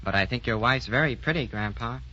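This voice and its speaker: An actor playing a brash, cheerful young grandson in a sentimental radio drama. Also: male voice, medium pitch, a little fast, pushed and bright, a little hiss or hum, close to an everyday voice. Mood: breezy, curious. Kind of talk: sentimental old-fashioned radio drama acting. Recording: muffled old radio recording with clear music.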